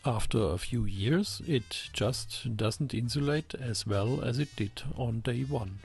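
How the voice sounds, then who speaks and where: Slow, creaky-voiced man narrating. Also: mid-range voice, soft background music. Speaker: host of a ham radio electronics channel. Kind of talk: scripted explanatory narration.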